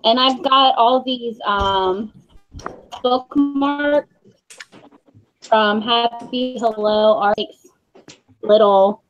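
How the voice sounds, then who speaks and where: Casual female book club host, fairly high voice, lo-fi video call sound from home. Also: steady tone, very slow, long pauses. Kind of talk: casual chat. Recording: dull lo-fi call, faint noise, clicks.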